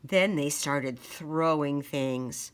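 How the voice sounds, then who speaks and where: A woman reading a children's picture book aloud in a dramatic, deliberate storytelling manner. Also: deep voice, clean tone.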